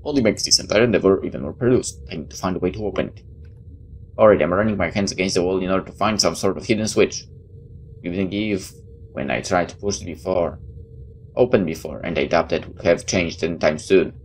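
A woman's voice: very deep voice, fast talker, darkish tone. A woman gamer reading game text aloud and adding casual, incredulous commentary. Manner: incredulous asides. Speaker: gamer doing a playthrough. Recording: faint background noise.

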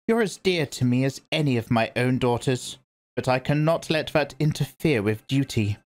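A man reading aloud and voicing a stern, commanding old woman, a religious elder, in a sharp and lecturing manner. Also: mid-range voice, animated, moderate pace, voice pushed up, lightly acted.

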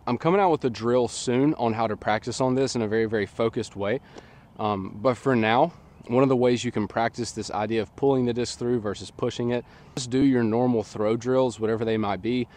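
Slightly nasal man coaching outdoors. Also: medium pitch. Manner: relaxed, chatty, filler-heavy explaining. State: slightly nasal from a sinus infection. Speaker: disc golf coach. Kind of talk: casual instructional talk. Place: open field outdoors. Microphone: camera on a tripod at a distance.

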